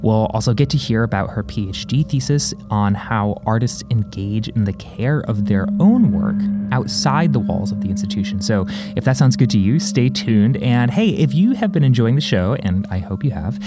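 A man's voice: lowish voice, expressive, moderate pace, few pauses. Male podcast host, upbeat scripted intro, heavy music bed beneath. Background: music underneath.

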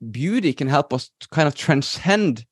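A man's voice: fairly high pitch, expressive, normal speed, slightly rough, no echo, studio quality. A middle-aged man with a Norwegian accent, hosting a podcast and telling his faith story in a hesitant, reflective way, full of fillers and restarts. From Norway. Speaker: podcast host.

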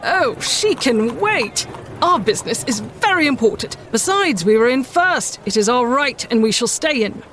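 Storybook gentleman, pushy, haughty, dismissive, performed by a woman, highish pitch, some background noise. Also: sing-song, normal speed. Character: pushy, self-important male election-day gentleman.

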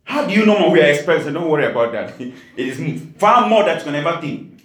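High-voiced preacher man, echoey hall. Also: lively intonation, brisk. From Cameroon. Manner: emphatic preaching, laughing asides, rising to shouts. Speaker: pastor. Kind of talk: sermon. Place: church hall.

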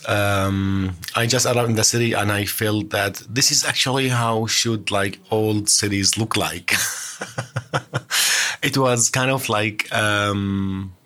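Young male student, lowish voice, chatty and hesitant. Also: fairly bright tone. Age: young adult. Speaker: seminar participant.

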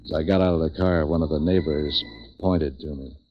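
An actor playing a tough, sardonic man for hire with an American accent, clipped and deadpan, in 1940s radio drama style. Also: a bass voice, fairly quick. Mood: brooding narration. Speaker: lead actor and narrator. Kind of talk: 1940s hardboiled radio acting. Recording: muffled lo-fi 1940s radio recording.

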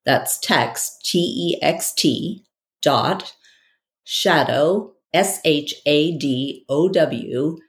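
A woman hosts a scripted self-help podcast. Her delivery is dramatic and theatrical, with a markedly unhurried pace. She speaks as a guide addressing listeners directly. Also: low voice. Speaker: podcast host.

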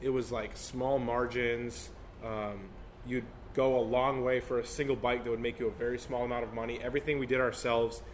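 A man's voice: medium pitch, little pitch movement, a little fast, a little hiss or hum. Candid young man in his twenties. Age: 20s. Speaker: business co-founder guest. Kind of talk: casual podcast chat.

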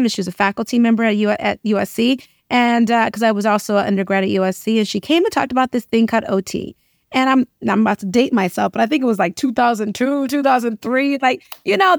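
Female interview guest, middle-aged, higher-pitched, emphatic casual storyteller, dry recording. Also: creaky, no echo. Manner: expressive, emphatic, often checking in with "right?". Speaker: interview guest, occupational therapist and educator.

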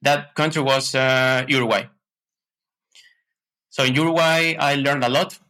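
A man from Ecuador with an Ecuadorian Spanish accent, chatting casually as an interview guest in slightly halting English. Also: medium pitch, steady tone, bright, forceful tone. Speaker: podcast guest, tech consultant.

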